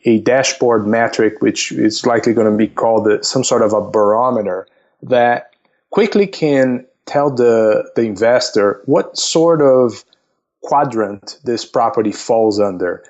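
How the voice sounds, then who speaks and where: A man with a medium-pitched voice talks as a guest expert on a podcast. He explains things step by step, stopping and repeating words as he goes. The sound is dull and lo-fi. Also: a little mellow. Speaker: investment counselor, podcast guest.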